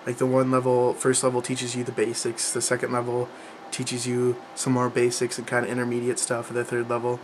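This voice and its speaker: A man's voice: mid-range voice, monotone, a little fast, background noise. A young man chatting casually to camera about learning languages, rambling, with lots of fillers and restarts. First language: English.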